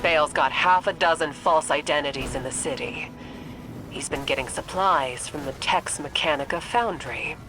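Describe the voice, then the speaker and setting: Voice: mid-range voice, moderate pace, lightly acted, poor audio. An actor playing a commanding, pragmatic intelligence officer in a video game, briefing in a clipped, tactical manner. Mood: businesslike. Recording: loud game music over the voice.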